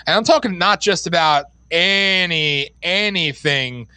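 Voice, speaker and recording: A man's voice: sits high, unhurried, bright, forceful tone, smooth, no room sound. A man co-hosting a podcast, ranting in a sarcastic way and laughing often. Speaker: podcast co-host. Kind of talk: casual podcast rant.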